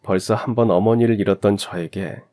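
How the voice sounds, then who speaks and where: A man's voice: lowish voice, fairly even intonation, a little fast, warm tone. A man reading a scripted first-person story in the style of a radio drama. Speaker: narrator of a story channel.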